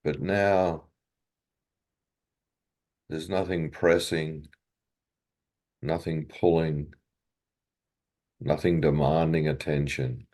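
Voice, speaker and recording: A man leading a guided meditation in a gentle, unhurried way, leaving long pauses between short phrases. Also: very deep voice, steady tone.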